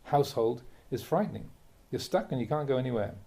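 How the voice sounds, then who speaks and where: A man, a Buddhist monk, reads a translated spiritual talk aloud in a measured, emphatic way, posing rhetorical questions. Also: medium pitch, animated, vocal fry, warm tone. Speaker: Buddhist monk reading a teacher's talks aloud. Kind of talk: reading a translated Dharma talk aloud, with brief asides.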